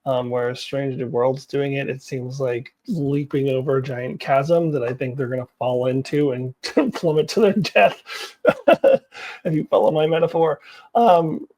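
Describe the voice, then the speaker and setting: A woman's voice: extremely low pitch, unhurried, warm tone. A woman giving casual, opinionated commentary as a vlog host, with a wry, blunt, exasperated and giggly manner.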